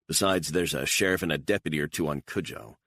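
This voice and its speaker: A man voicing a calm, controlled assassin who speaks in short, firm sentences. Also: a bass voice, fast talker, exaggerated voice. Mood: steady. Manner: level, terse, firm.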